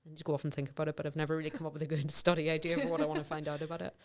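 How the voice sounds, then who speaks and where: A woman's voice: sits low, little pitch movement, racing, a little mellow. A middle-aged woman researcher, a podcast guest, talking things through thoughtfully with lots of fillers and restarts. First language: English. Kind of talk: conversational interview.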